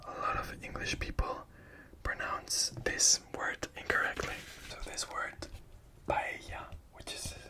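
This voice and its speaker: A speaker whispering a gentle ASMR-style language lesson, patiently repeating each letter and phrase and offering encouragement. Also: rough recording. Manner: whispering softly, repeating each sound slowly. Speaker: Spanish teacher.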